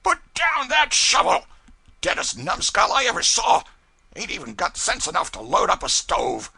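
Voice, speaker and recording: Brief: nasty riverboat pilot, older man, shrill, husky shouts, done by a man reading. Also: big pitch swings, normal speed, dry recording, heavily acted. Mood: shouting, furious. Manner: barking, shouting, sneering. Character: bullying irritable older male riverboat pilot.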